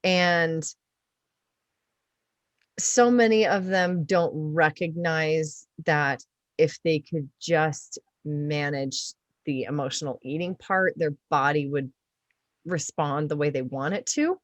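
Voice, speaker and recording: A woman's voice: sits low, takes it slowly, dry recording. Effusive woman podcast host, dry close sound. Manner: effusive, emphatic. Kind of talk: casual enthusiastic podcast interview.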